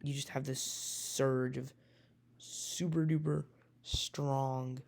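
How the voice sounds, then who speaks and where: A man with a raspy voice, a weather enthusiast casually talking through a forecast with many hesitations and self-corrections. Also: medium pitch, little pitch movement, slow pace, darkish tone.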